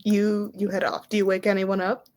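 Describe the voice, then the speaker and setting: A woman running a tabletop roleplaying game, narrating casually and haltingly in a conversational way. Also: medium pitch, little pitch movement, darkish tone. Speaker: game master.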